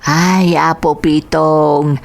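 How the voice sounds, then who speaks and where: Woman acting a lowish-voiced scolding Ilocano mother. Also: fairly even intonation, drawn-out words, creaky, exaggerated voice. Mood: scolding, doubtful. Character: scolding, worried Ilocano mother of a grown son. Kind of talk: radio drama acting.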